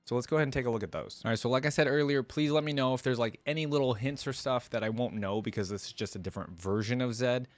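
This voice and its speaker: A man's voice: medium pitch, fast talker, hardly any pauses, no echo. A man hosting a reaction video, giving casual, off-the-cuff commentary.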